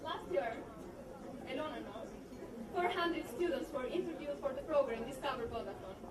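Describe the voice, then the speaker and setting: A woman's voice: high voice, moderate pace. A young woman, an alumna, giving an earnest, formal prepared speech at a party, reading from notes. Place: large hall at a party. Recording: echoey hall, mic far off, loud crowd.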